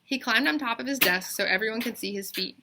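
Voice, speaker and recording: A woman reading a children's storybook aloud in expressive narration. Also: on the high side, fast, a little hiss or hum. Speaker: storybook reader.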